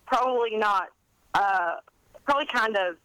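A woman in her fifties telling stories in a chatty, animated, rambling and self-deprecating way, as an interview guest. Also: medium pitch, normal speed, smooth, low-quality recording. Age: 50s. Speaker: guest author and college English teacher.

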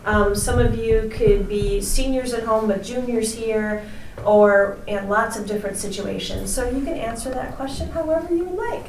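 A woman's voice: a little high, moderate pace. A woman hosting an interview podcast as a school superintendent. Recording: roomy, distant mic, faint noise.

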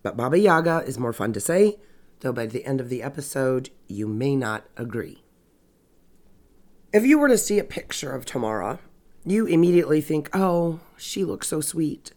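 A woman's voice: a bass voice, very animated, moderate pace, darkish tone. A woman hosting a true crime podcast with a stuffy nose and a scratchy voice, narrating casually with wry, sarcastic asides. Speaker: podcast host.

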